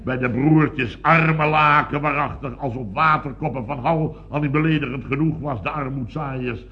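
An actor playing a blustering, self-important company boss in a radio comedy serial, sputtering, exclaiming and repeating himself pompously. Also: male voice, medium pitch, normal speed, a little hiss or hum, close to an everyday voice. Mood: indignant. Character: blustering, excitable, self-important male boss of building firm. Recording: dull band-limited old radio recording.

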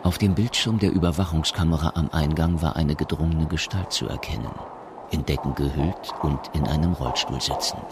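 An actor narrating a German radio drama in a measured, detached, scripted manner. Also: male voice, rumbling low, background noise. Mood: sober. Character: sober, detached male storyteller of a science fiction tale.

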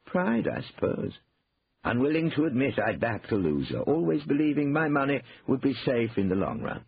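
Man voicing a sly, rueful older gentleman, pitch swooping. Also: very animated, normal speed, gravelly, phone-quality sound, a little hiss or hum. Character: shrewd older man, feigning regret, slyly composed.

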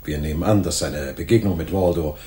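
An actor playing a calm, polite, quietly amused police detective in a noir radio drama, measured and knowledgeable. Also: male voice, extremely low pitch, roomy sound. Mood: matter-of-fact.

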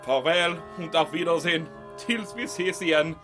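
Man acting a high-pitched sentimental major. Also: over music, lightly acted. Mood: sentimental, earnest. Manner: peppers Swedish with German words. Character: sentimental German army major in occupied Sweden. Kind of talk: comedic audio drama acting.

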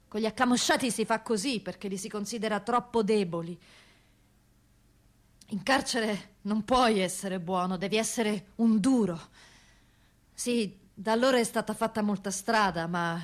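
Woman acting an earnest prison officer, higher-pitched voice. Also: close to an everyday voice. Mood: earnest, aggrieved. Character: earnest prison police officer in their thirties, wounded pride. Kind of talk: theatrical first-person monologue.

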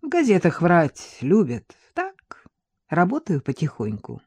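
Brief: woman voicing a calm, modest man, soft and soothing, audiobook. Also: sits low, slow pace, breathy edge, no echo, exaggerated voice. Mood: mild. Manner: soft, placating. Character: modest middle-aged man, calm peacemaker.

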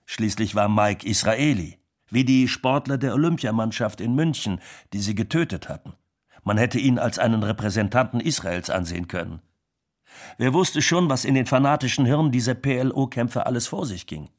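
An actor playing a star's concerned associate in a radio drama, explaining earnestly. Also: male voice, medium pitch, moderate pace, natural voice.

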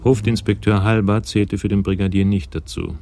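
A man narrating a German radio crime drama from a script in a measured, wry, descriptive way. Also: fairly low pitch, creaky, darkish tone, soft background music.

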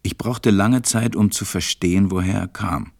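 A man playing a serious stranded pilot who narrates his story in a measured, deliberate way in an audio drama. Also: low voice, gravelly, darkish tone, no room sound, clean studio sound. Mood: serious, reflective. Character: stranded adult male pilot, serious narrator recalling events.

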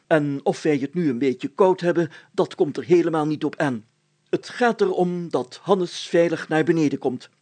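A man's voice: fairly high pitch, mellow, slightly rough, pitched above the performer's own voice. A man voicing a pompous, solemn village mayor in a storybook, speaking in a formal, declamatory and long-winded way. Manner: pompous, declamatory, formal, stammering when flustered.

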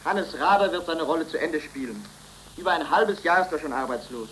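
A man's voice: on the high side, expressive, a little fast, a bit bright. A man acting a wry, resigned figure with a dry, ironic manner, in 1930s radio drama style. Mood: dry irony. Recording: noisy vintage 1930s radio recording.

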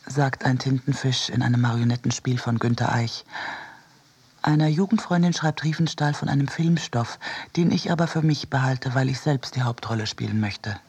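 Male narrator for literary radio piece, mid-pitched, markedly raspy and creaky. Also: a little mellow. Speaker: reader of a literary essay. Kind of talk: scripted narration in a radio production.

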